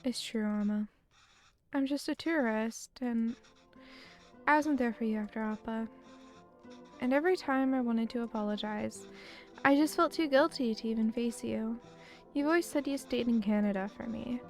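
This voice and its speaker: A woman with a weak voice reading the lines of a remorseful grown son in a story game, in a slow, quiet, confessional way. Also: fairly high pitch, soft background music, natural voice. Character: young adult son, guilt-ridden writer.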